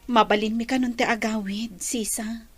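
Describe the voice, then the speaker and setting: A woman's voice: on the high side, steady tone, normal speed, soft background music, natural voice. A woman playing a young, troubled mother in a radio drama, hesitant and plaintive.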